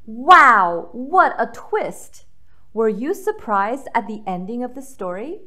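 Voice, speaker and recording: Animated woman reading to children, high voice. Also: slow. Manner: animated, clearly addressing children with questions. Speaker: children's story reader and host. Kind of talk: expressive read-aloud for young children.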